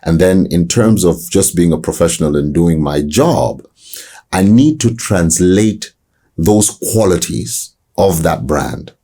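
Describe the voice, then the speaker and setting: A man who works as a voice-over artist with a deep voice, answering interview questions in a reflective way, often restarting his sentences. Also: expressive, warm tone. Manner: reflective, hesitant restarts, slow emphasis.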